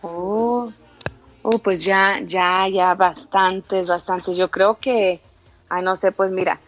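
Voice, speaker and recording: A woman's voice: mid-range voice, steady tone, slow pace, highs cut off, faint music behind. A woman in her thirties, born in El Salvador, a singer answering interview questions in a chatty way and laughing often. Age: 30s. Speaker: singer, guest.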